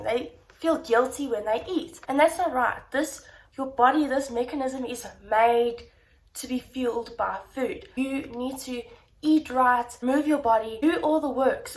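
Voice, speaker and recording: A young woman talking casually to her viewers, her voice a touch higher than most and slightly nasal from a blocked-up nose. She keeps it chatty and encouraging and speaks to the audience directly. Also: low-quality recording. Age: young adult. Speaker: vlogger. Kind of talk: casual talk to camera.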